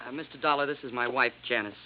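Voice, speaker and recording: An actor playing a stuffy, apologetic young husband in a 1950s radio drama, formal and fussy under strain. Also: male voice, medium pitch, very fast, close to an everyday voice. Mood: polite. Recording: noisy muffled vintage radio recording.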